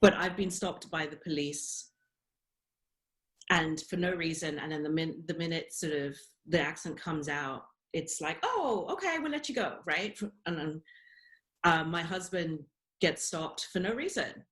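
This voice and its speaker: A woman's voice: low-pitched, animated, unhurried, fairly bright tone. A woman in her forties with a British accent, a professor answering questions on a radio show and speaking earnestly, with frequent fillers and self-corrections. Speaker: professor, interview guest. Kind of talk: radio interview.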